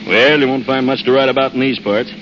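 An actor playing a wary, folksy motorist who talks bluntly and asks nosy questions, in a 1930s radio drama. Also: male voice, medium pitch, fairly even intonation, fast talker, lightly acted. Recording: muffled, noisy 1930s radio recording, engine drone.

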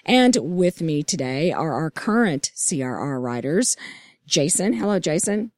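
Hoarse low-voiced woman host. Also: big pitch swings, unhurried, darkish tone, no echo, studio quality. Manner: upbeat, enthusiastic. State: hoarse, lost voice. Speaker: podcast host. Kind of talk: casual roundtable chat.

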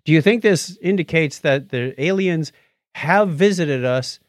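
A man's voice: a little high, animated, a little slow, dry recording, studio quality. A man co-hosting a podcast in casual chat, with a jokey way of acting out what other people say. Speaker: podcast co-host.

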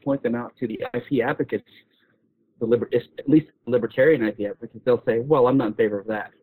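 A man's voice: medium pitch, fairly even intonation, fast. A man explaining as a guest expert in an informal discussion, often repeating and rephrasing his phrases. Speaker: guest expert on patent law. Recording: roomy, phone-like call audio.

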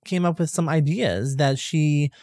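Woman cohost, really low voice, gushes and rambles, dry mic. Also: measured pace, warm tone, no room sound. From from the US East Coast. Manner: rambling, restarts, effusive. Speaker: podcast co-host. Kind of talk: casual chat.

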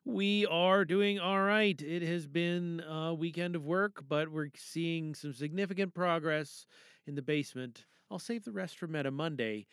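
Podcast host, casual and rambling, with a bright, forward voice and a very dry sound. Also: mid-range voice, measured pace, clean tone, dry recording. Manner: rambling, thinks aloud. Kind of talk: casual chat.

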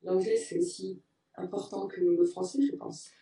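A woman's voice: lowish voice, expressive, moderate pace, warm tone, reverberant, far from the mic, poor audio. A woman teacher talking casually in an interview, hesitant with many fillers. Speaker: French teacher, interview guest. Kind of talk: casual conversation.

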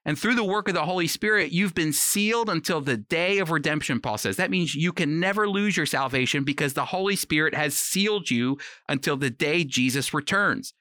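A man's voice: a little high, lively intonation, a little fast, few pauses, sharp tone, no echo, studio quality. A man giving conversational, scripted Bible teaching in an earnest, explanatory manner. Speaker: Bible teacher on a radio program.

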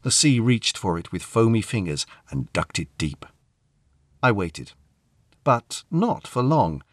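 A man's voice: lowish voice, sing-song, slow pace, dry recording, clean studio sound. A man reading a first-person short story aloud as a dramatic narrator. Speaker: story reader.

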